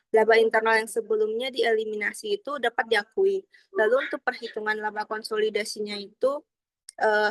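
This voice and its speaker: A woman's voice: on the high side, no room sound, rough recording. A young adult woman gives a formal, explanatory student presentation, partly reading from slides. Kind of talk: slide presentation of accounting material, partly read out.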